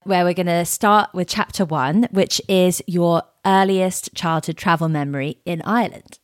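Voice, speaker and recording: A woman's voice: lowish voice, moderate pace, no echo, clean studio sound. A woman hosting a travel podcast, reading a polished intro and then interviewing her guest. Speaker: podcast host. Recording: faint music behind.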